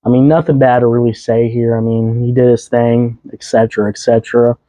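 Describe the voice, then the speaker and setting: A young man hosting a casual music review podcast, his voice a bit hoarse, rambling loosely with fillers and restarts. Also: medium pitch, little pitch movement, brisk, warm tone, dry recording, highs cut off. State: voice slightly hoarse.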